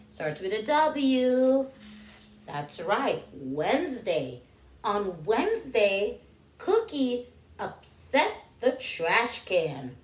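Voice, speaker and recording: A woman in her thirties reads a picture book to small children at an unhurried pace. Her pitch swings widely as she poses questions and draws out key words, like a teacher at story time. The room adds a noticeable echo. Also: a little hiss or hum. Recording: roomy indoor echo.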